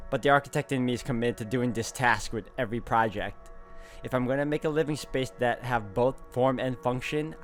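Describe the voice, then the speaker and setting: A man's voice: medium pitch, a bit bright. Man narrating a game playthrough over music. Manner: casual asides, thinking aloud. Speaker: let's play host. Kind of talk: visual novel playthrough, reading aloud and commenting. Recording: music behind the voice.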